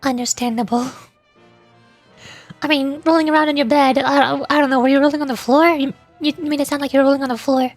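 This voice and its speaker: A woman's voice: high-pitched, fairly even intonation, fast, soft background music. A young woman streaming a game, chatting playfully with frequent giggles as she comments. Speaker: VTuber streamer.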